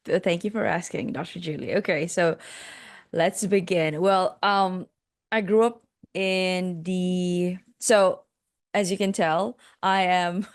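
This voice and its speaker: A woman's voice: lowish voice, steady tone, takes it slowly, no room sound, studio quality. A woman from Malaysia with a Malaysian accent, a life coach telling her life story as a podcast guest, reflective and hesitant with many fillers.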